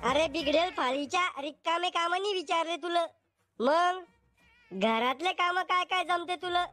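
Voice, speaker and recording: A man voicing a sharp-tongued, brazen young bride-to-be in a cartoon comedy, bluntly confident in a pitched-up voice. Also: unhurried, soft background music, full character voice. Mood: scolding.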